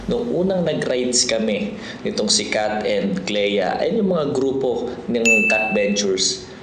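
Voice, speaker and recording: A Filipino man narrating a motorcycle vlog in a casual, conversational, explanatory way, mixing Tagalog and English. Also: mid-range voice. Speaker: motorcycle vlogger. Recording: roomy echo, loud background music. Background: music.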